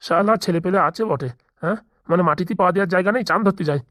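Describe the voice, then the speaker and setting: A woman voicing a wary, grumbling middle-aged village man in a comedy cartoon, haggling gruffly. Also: lowish voice, very fast, no room sound, studio quality, natural voice. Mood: bargaining.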